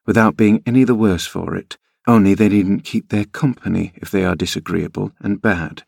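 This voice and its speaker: A man voicing a sensible nursemaid in a storybook, prim and soothing, gently admonishing her charge. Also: fairly low pitch, moderate pace, vocal fry, no echo, clean studio sound.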